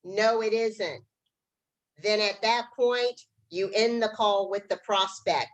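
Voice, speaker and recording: A woman's voice: medium pitch, takes it slowly. A middle-aged woman, a guest business trainer, gives conversational instruction in a plain-spoken, emphatic manner.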